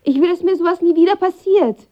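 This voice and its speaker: An actress playing a guarded, shy and vulnerable young woman in an intimate radio drama, with a hesitant, hushed delivery. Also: very high-pitched, quick pace, dull audio. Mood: hurt, upset. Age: young adult.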